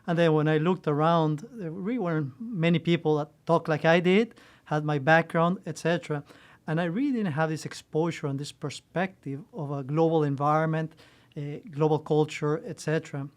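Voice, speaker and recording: Executive guest, man from Costa Rica, fifties, earnest and loose, slightly high voice. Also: normal speed. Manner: earnest, loose with restarts and "right?". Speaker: supply chain executive. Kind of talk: interview answers.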